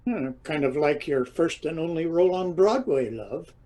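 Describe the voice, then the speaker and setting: Radio play husband for a male actor: dim, relaxed and mellow, dryly needling. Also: fairly high pitch, moderate pace, natural voice. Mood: dry teasing. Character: Joe, dim, teasing husband of a diva. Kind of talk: radio drama acting.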